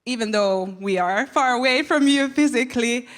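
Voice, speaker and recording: A woman's voice: fairly high pitch, moderate pace, fairly bright tone. A woman opening a live online event, upbeat and encouraging viewers to take part. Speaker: event organizer.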